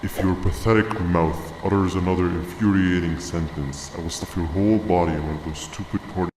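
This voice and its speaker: A man voicing a pompous, menacing jellyfish monster villain in a video game, with a very deep, gravelly voice and wordy, sardonic delivery. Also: steady tone, a little fast, heavy background noise, lightly acted. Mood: furious. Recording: cavernous echo with long reverb.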